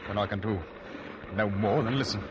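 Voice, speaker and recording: A man plays a stern sea captain with a low voice, wary and measured in his speech. It is classic radio drama acting. The recording is dull and muffled, with clear noise throughout. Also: close to an everyday voice. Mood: suspicious, torn. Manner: suspicious, measured, halting. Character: wary, stern male ship's captain, grudgingly bargaining. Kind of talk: old-time radio drama acting and first-person narration.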